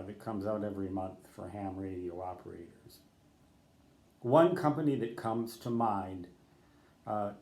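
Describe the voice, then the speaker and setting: A man's voice: fairly low pitch, darkish tone, reverberant. An older man who is a ham radio operator, giving rambling advice off the cuff, correcting himself and spelling out letters in radio code. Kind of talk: unscripted talk to camera.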